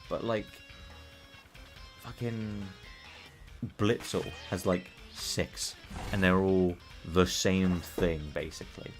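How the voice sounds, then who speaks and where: A British man streaming a game, chatting casually in a rambling, profane way that he calls monotone. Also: deep voice. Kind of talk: casual live-stream chat while gaming.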